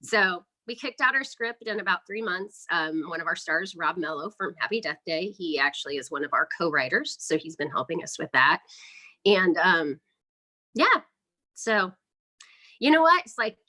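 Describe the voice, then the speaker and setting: A woman's voice: lowish voice, lively intonation, normal speed, pushed and bright, poor audio. A woman speaking casually and chattily as an interview guest, explaining her work as an independent filmmaker.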